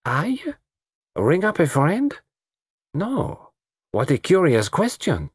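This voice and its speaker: Man putting on a coquettish South American woman, lilting, husky. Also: a little high, huge pitch swings, dry recording, clean studio sound, pitched above the performer's own voice, full character voice. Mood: surprised. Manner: coquettish, drawn-out phrasing.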